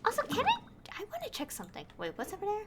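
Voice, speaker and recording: A woman streamer chatting casually through a game, easily delighted and quick to laugh. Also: very high voice, huge pitch swings, a bit bright, slight background noise. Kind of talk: casual gameplay commentary.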